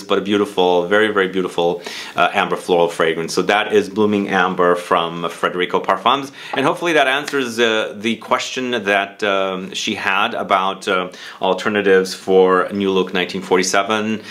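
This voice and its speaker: Low-voiced man casually reviewing fragrances. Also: pushed and bright. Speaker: fragrance reviewer and video host. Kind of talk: casual review talk to camera.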